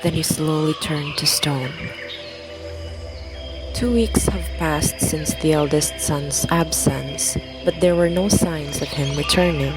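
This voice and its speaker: A woman narrates a scripted story in a low voice. Loud music runs behind her narration. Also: slow, rough recording.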